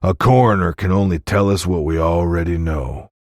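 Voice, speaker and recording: Man acting a deep, grim Old West sheriff. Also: no echo, studio quality, natural voice. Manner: slow, folksy, blunt.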